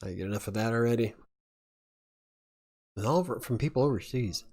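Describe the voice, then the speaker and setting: A man's voice: mid-range voice, fairly quick, a little mellow, breathy edge. A man hosting a casual live chat, rambling and chuckling as he talks. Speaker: livestream host.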